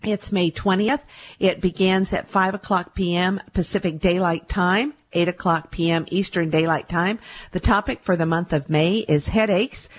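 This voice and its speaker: A woman's voice: fairly low pitch, fairly even intonation, normal speed, slight background noise. A middle-aged woman hosting a call-in radio show, chatting casually with fillers and repeating herself, just back from laryngitis. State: recovering from laryngitis. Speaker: radio show host and medical intuitive.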